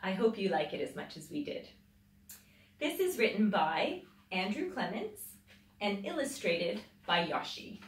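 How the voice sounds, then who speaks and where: A woman's voice: mid-range voice, very animated, a little slow. A middle-aged woman reading a picture book aloud to children in a dramatic, emphatic way, drawing out words. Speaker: children's storytime host, teacher. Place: small indoor library room with tiled floor. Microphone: camera a few metres away. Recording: roomy echo, distant microphone.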